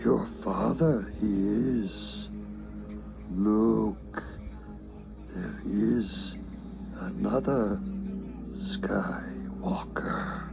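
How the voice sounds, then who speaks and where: Ancient alien master, heavily creaking low voice, halting, wise, frail, storybook drama, music behind. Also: male voice, fairly even intonation, exaggerated voice. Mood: fading, weak. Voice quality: creaky, gravelly. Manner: halting, inverted word order, solemn.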